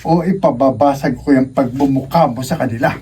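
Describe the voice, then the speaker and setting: An actor playing a rebellious, domineering young college student in a radio drama, with a cocky, slangy manner. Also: male voice, mid-range voice, fairly quick, warm tone, faint music behind, lightly acted. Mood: menacing, scheming.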